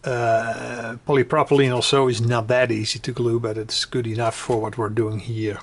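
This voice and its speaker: A man giving a casual, unscripted hobby tutorial, conversational and hesitant, often pausing mid-sentence. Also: medium pitch, level tone. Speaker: hobbyist demonstrating a build. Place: indoors at home.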